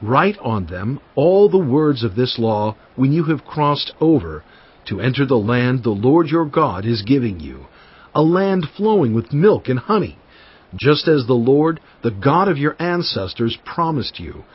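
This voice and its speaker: A man playing a solemn, commanding Hebrew prophet and lawgiver in a dramatized audio Bible, declaiming deliberately to his people. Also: mid-range voice, big pitch swings, breathy edge. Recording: dull band-limited audio over music. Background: background music.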